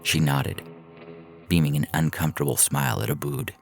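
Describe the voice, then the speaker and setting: A man's voice: rumbling low, big pitch swings, normal speed, gravelly, soft background music. A man reading a science fiction story as narrator in a scripted audio fiction style.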